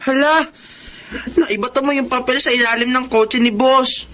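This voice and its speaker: Pushy, excitable young friend. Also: female voice, sits high, moderate pace, slight background noise. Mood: excited, urging. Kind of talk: Filipino radio drama acting.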